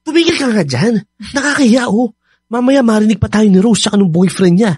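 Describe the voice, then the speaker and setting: An actor playing a young, evasive and defensive boyfriend in a Filipino radio drama. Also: male voice, sits high, animated, fairly quick, darkish tone, slightly rough, no room sound, clean studio sound. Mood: flustered, defensive. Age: young adult.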